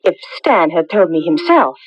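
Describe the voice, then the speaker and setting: A woman's voice: lowish voice, big pitch swings, moderate pace, slightly rough, no echo. An actress playing an earnest woman in an eerie 1980s radio melodrama. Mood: composed. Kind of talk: 1980s radio drama acting. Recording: muffled old radio broadcast.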